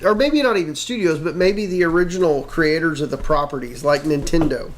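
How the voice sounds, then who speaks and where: Higher-pitched man in casual discussion, some noise. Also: a little fast. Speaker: co-host of a pop culture discussion show. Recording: noticeable background noise and room ambience.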